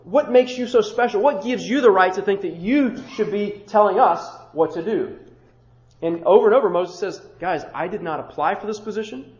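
A man with a high-pitched voice preaches a sermon as a pastor. He stacks short phrases into rhythmic lists and drops into casual, conversational asides. The recording is dull and muffled, with a roomy echo around him. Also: animated, moderate pace.

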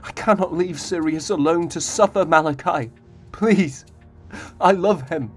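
A man's voice: a little high, a little slow, soft background music, lightly acted. An actor playing a noble young king in a fantasy audio drama, speaking in formal, archaic, dramatic phrases. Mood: anguished, pleading. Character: noble young male fantasy king, devoted, desperate lover.

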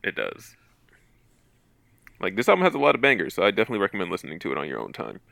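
A man's voice: sits low, huge pitch swings, racing, creaky, bright, forceful tone, dry recording. A man co-hosting a music podcast, teasing and playful, laughing often. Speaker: podcast co-host. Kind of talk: casual music podcast chat.

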